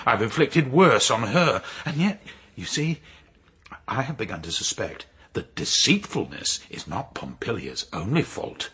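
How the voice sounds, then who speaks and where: An actor playing a cold, sardonic, jealous nobleman in a period radio drama, sneering and theatrical, with a raspy voice. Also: on the low side, a bit bright, full character voice. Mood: suspicious, menacing. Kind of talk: period radio drama acting, narration and dialogue.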